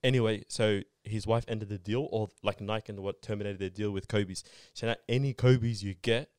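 A man's voice: lowish voice, fairly even intonation, moderate pace, a little mellow, clear, resonant tone, no echo, clean studio sound. A man co-hosting a casual podcast, rambling in a laid-back way.

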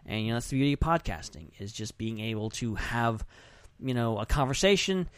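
A man's voice: mid-range voice, animated, a little fast, clear, resonant tone, no echo. A man hosting a casual podcast chat, talking in an effusive, affirming way. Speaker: podcast host.

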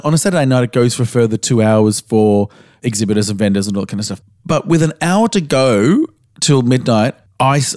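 An Australian man co-hosting a podcast, slightly hoarse as he recovers his voice, telling stories in a frank, casual way with the odd swear word. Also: mid-range voice, darkish tone, dry recording, studio quality. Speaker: podcast co-host.

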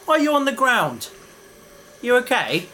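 An actor playing an eager bookish explorer. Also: male voice, very high-pitched, wildly swooping pitch, unhurried, pushed and bright, slight background noise, exaggerated voice. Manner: enthusiastic, lecturing. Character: bookish, curious young adventurer. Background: music.

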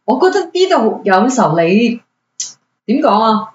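A woman's voice: fairly high pitch, big pitch swings, normal speed, a little mellow, a little hiss or hum. A woman guest in a casual chat, talking animatedly and mixing in English words. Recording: roomy, distant, dull.

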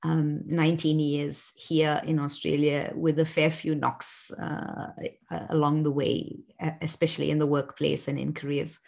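A woman's voice: low-pitched, level tone, unhurried, gravelly, a little mellow. A woman in her forties from South Africa, speaking thoughtfully as an interview guest, with frequent fillers and restarts. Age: 40s. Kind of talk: reflective personal interview.

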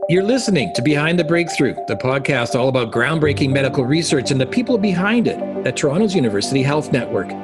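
A man hosting a podcast, reading a scripted introduction and then interviewing a guest in a polished, measured way. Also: fairly high pitch, big pitch swings, over music. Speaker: podcast host.

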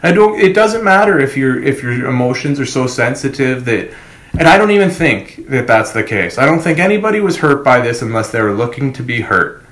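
A man's voice: mid-range voice, big pitch swings, a little fast, fairly bright tone. Man in an echoey room, emphatic talker. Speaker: podcast host. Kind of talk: opinionated casual discussion. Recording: roomy, echoing podcast recording.

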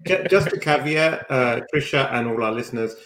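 A man's voice: medium pitch, a little fast, a bit bright, slight background noise. A man chatting casually as a talk show co-host with dry, teasing humour, often repeating his opening words. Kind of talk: casual chat. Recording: roomy, echoing sound.

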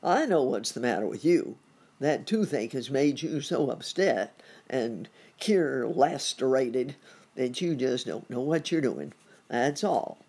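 A storyteller voicing a kindly male bear dentist from a storybook, calm and reassuring in manner. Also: medium pitch, takes it slowly, gravelly, slightly rough, exaggerated voice. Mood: firm.

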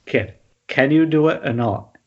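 A man playing a reckless, sarcastic film director with a husky voice in a screenplay table read over a dull internet call. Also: medium pitch, a little slow, background noise, close to an everyday voice. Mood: impatient, defiant.

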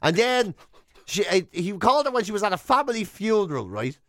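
High, animated Irish male radio co-host. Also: brisk, dry recording, studio quality. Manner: excitable, rambling storytelling. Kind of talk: comic radio banter.

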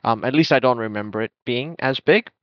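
A man in his forties from Bangladesh, co-hosting a podcast in relaxed, casual chat with frequent fillers. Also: mid-range voice, fairly quick, no echo. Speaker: podcast co-host. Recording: dull lo-fi podcast audio.

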